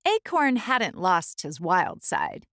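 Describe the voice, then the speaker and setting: A woman reading a children's storybook aloud as the host, in an expressive, deliberate storytelling style. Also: fairly low pitch, no echo, clean studio sound.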